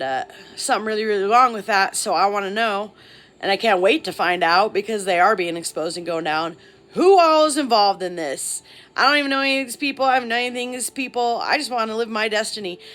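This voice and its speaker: A woman's voice: medium pitch, a bit bright. A woman talking straight to camera in a rambling personal vlog, full of fillers, aggrieved and insistent. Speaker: vlogger.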